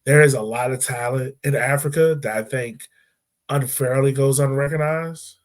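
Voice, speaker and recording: A man co-hosting a podcast, talking football in a loose, animated way with lots of fillers and restarts. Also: medium pitch, normal speed. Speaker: podcast co-host. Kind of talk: casual sports chat.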